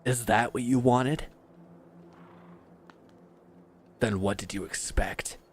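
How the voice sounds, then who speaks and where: A man's voice: mid-range voice, steady tone, normal speed, slight background noise, lightly acted. A man playing a bitter, wounded villain who is grieving and accusing, speaking with weary sarcasm in a scripted audio roleplay addressed to the listener.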